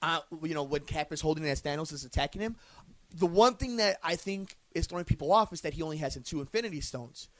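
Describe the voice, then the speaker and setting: A young man co-hosting a casual podcast chat, excitable, hedging and restarting his sentences. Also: fairly high pitch, no room sound. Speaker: podcast co-host.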